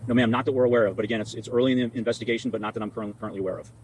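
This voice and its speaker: An American man, an army general, giving formal, halting answers with repeated words at a press conference. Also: mid-range voice, steady tone, darkish tone, rough recording.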